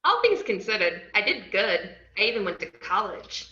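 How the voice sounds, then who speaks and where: A roomy, slightly dull recording of a brash, unhinged young antiheroine, played by a woman in a table read. She tells her own story in a bright, cheeky voice that swoops all over. It is bubbly and expressive. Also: medium pitch, normal speed. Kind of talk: first-person scripted narration in an amateur table read. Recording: roomy, muffled online call audio.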